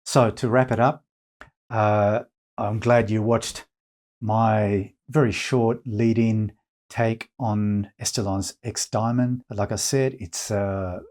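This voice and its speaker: A man presenting an informal product review as a reviewer, speaking in a measured way with hesitant pauses between phrases. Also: fairly low pitch, steady tone, a little mellow. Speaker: audio magazine editor and reviewer, presenter.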